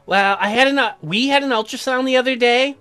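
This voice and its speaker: A man voicing a gentle, worried young pregnant wife, reading visual novel dialogue in a soft, hesitant, earnest way. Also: very high-pitched, brisk, clean tone, faint music behind, pitched above the performer's own voice. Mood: gentle, polite.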